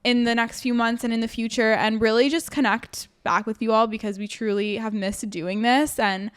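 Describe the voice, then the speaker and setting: A woman with a fairly high, smooth, clear voice co-hosts a podcast in casual chat. She talks earnestly in long, flowing sentences. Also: steady tone, moderate pace. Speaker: podcast co-host.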